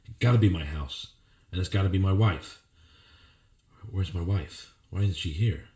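An actor playing a confused, weary amnesiac in a film noir audio play, halting and repetitive, with hard-boiled phrasing. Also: male voice, low-pitched, a little mellow, natural voice. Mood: disoriented, anxious.

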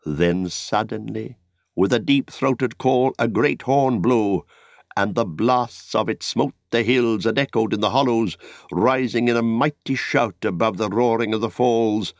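A man narrating an epic story as an audiobook, in a dramatic, solemn and measured literary style. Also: on the low side, dry recording, studio quality. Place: dry studio.

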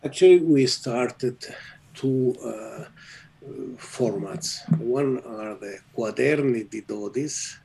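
A man whose first language is Italian, directing an archive and explaining its work in an interview, halting and restarting often. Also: mid-range voice, vocal fry, dark tone, husky, rough recording. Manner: halting, restarts often, laughs at own jokes. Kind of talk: interview conversation.

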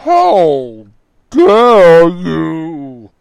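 A towering, wrathful zealot father, roaring out his damnations in a slow, gravelly drawl. His pitch swings wildly as each word is dragged out and thrown like a sermon of hate. It is dry and close, with nothing of the room around it. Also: huge pitch swings, dry recording, exaggerated voice. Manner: slow, drawn-out preacher-like bellowing.

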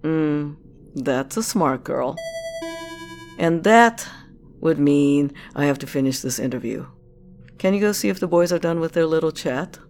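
Woman as a low-voiced, sly corporate director. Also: lively intonation, a little slow, long pauses, darkish tone, faint music behind. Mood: sly, pleased. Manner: teasing, probing, self-assured. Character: commanding female corporate director, sly, curious, teasing. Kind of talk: scripted audio drama acting.

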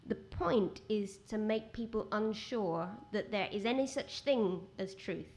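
A woman with a quiet voice, a guest writer talking at a live event, rambling with many restarts. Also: mid-range voice, measured pace. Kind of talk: conversational talk at a live event. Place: outdoor event with rain.

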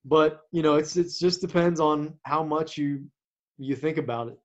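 A man who coaches, talking casually about sports as a podcast co-host, with a naturally quiet voice and a halting way of talking, full of restarts and fillers. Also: on the high side. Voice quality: naturally quiet voice that does not carry. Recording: dull lo-fi sound.

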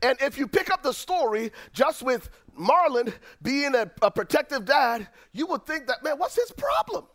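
A middle-aged man with a Liberian accent, preaching a sermon as pastor, swinging from near-shouts down to low, quiet lines. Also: sing-song, moderate pace, hardly any pauses. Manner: preaching with dramatic swings, rising to shouts then dropping low.

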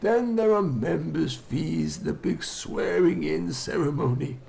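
A man acting an officious, smooth-talking vicar who is polite and evasive in a comedy audio drama. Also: fairly high pitch, lively intonation, slow pace, darkish tone, full character voice. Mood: officious, apologetic.

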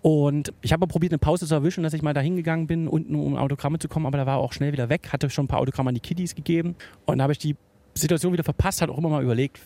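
A man's voice: fairly high pitch, fairly even intonation, racing, dark tone, no echo. A man in his mid-thirties from Dresden, a football fan telling his story in an interview, earnest and reflective with small hesitations. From Dresden, Germany. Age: 30s.

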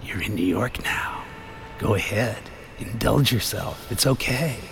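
A man voicing a fiendish, devilish tempter voice that coaxes and wheedles, climbing higher in pitch. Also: unhurried, gravelly, noisy background. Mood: coaxing. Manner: coaxing, wheedling, rising higher and higher.

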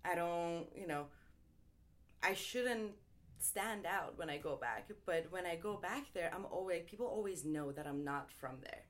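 A woman's voice: on the low side, unhurried. A young woman from Iran, a guest in a casual interview, talking thoughtfully with frequent "um" and "like" and easy laughter. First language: Farsi. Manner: thoughtful, frequent ums and likes, laughs easily.

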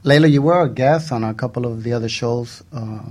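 A man's voice: medium pitch, brisk, a little mellow. A man co-hosting a radio show in a studio with casual, flirtatious banter.

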